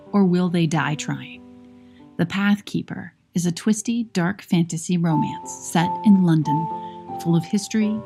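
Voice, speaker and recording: A woman's voice: fairly low pitch, vocal fry. A woman reading a scripted book advertisement. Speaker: ad reader. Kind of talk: scripted ad narration. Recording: read over guitar music.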